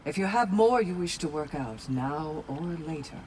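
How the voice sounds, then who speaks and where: A woman's voice: sits low, expressive, normal speed, darkish tone, slight background noise. A woman playing a steadfast, kindly Valkyrie warrior in a video game, speaking in a composed, articulate, formal way. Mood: measured, informative. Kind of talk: video game cinematic acting. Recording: game music behind the voice.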